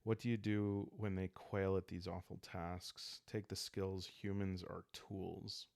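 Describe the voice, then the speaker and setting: A low-pitched man talks in a calm, unhurried way through a solo storytelling game. His voice has a gravelly fry to it, and the recording is dry and close, with no room around it. He improvises scenes and thinks out loud, in a style meant to help listeners doze off. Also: dry recording. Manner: soft-spoken, calm and unhurried, thinking aloud. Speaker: host playing a solo journaling roleplay game.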